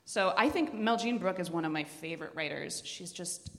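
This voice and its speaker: A woman with a lowish voice speaks as a podcast host recommending books. Her delivery is informal and blunt, with clear enthusiasm for what she describes. Long reverb places her in a hall. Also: expressive, moderate pace. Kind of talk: casual chat before a live group.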